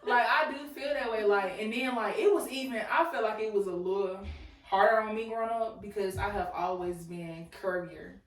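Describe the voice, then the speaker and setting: A woman's voice: medium pitch, steady tone, normal speed. Laughing young woman co-hosting a podcast. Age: 20s. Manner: loose, laughing storytelling. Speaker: podcast co-host. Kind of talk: casual chat. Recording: echoey room, mic at a distance.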